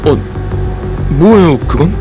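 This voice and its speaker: A man's voice: on the high side, lively intonation, unhurried, over music. An actor playing a nervous male doctor who keeps asking anxious questions.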